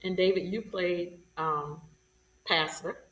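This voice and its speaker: A woman's voice: lowish voice, steady tone, slow, a bit bright. A woman presenting guests in unscripted, conversational introductions. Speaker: show presenter introducing cast. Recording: echoey, distant mic, background noise.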